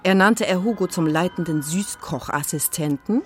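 A woman's voice: fairly low pitch, moderate pace. A woman narrating a children's radio play in a scripted storytelling style. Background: music.

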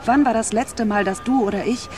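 An actress playing a bold, playful female fast-food worker who coaxes eagerly in a radio drama, with music underneath. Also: fairly high pitch, a little mellow, natural voice. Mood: playful, coaxing.

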